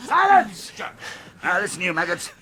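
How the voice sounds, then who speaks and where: Shrill, brutal orc captain. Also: male voice, huge pitch swings, moderate pace. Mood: menacing command. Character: brutal bullying orc captain, barking orders. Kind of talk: radio drama acting. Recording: clamouring crowd behind.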